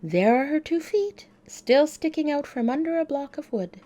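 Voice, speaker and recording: A woman voicing a sweet, calm, courteous little old lady in a storybook reading. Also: high voice, moderate pace, voice pushed up. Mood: cheerful, matter-of-fact. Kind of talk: storybook character voice.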